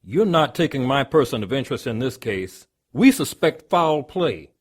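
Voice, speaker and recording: A man's voice: medium pitch, very animated, moderate pace, husky. A man playing an authoritative police detective in an audio drama, challenging and dismissive in his delivery.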